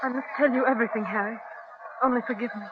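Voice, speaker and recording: An actress playing an anguished, idealistic wife pleading emotionally in an old-time radio drama. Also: female voice, on the high side, fairly even intonation, fast talker, natural voice. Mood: anguished confession. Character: anguished, idealistic wife confessing her deed. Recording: muffled noisy old radio drama recording.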